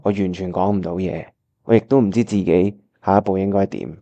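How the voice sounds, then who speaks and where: A man's voice: deep voice, steady tone, a little fast, warm tone, dull audio. An actor with a Hong Kong accent playing a lovesick young male pianist, narrating a scripted radio drama in an earnest, melodramatic way. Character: lovesick, heartbroken young male pianist hiding his feelings. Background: piano music.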